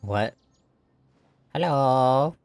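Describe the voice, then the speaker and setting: A man's voice: mid-range voice, expressive, extremely slow, gravelly, darkish tone, smooth. Man casually narrating a game playthrough. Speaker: gaming video host. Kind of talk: casual game commentary and reading dialogue aloud. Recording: game music playing underneath.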